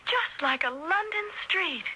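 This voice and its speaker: Through clear radio noise comes a young wife in a mystery play. Her voice is high and leaps about. She is excitable, anxious and full of wonder. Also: unhurried. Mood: amazed. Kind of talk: radio mystery drama acting. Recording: noisy radio drama recording.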